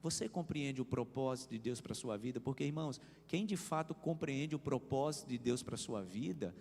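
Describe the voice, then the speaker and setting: A man's voice: mid-range voice, normal speed, darkish tone. A man preaching a sermon as pastor, driving his points home with swelling emphasis and repeated refrains. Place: church hall. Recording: reverberant church hall.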